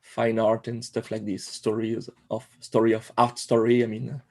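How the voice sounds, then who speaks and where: A man in his forties from Corsica with a French accent, a guest telling his story in a podcast interview, pausing often with fillers and restarts. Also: fairly low pitch, monotone, a little mellow. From Corsica, France. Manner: hesitant, many fillers and restarts. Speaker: guest, animator.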